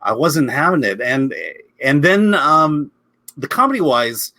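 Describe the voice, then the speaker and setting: A man's voice: a little high, expressive, measured pace, sharp tone, no room sound. A man chatting casually as a film critic, speaking hesitantly and often repeating his words as he reviews.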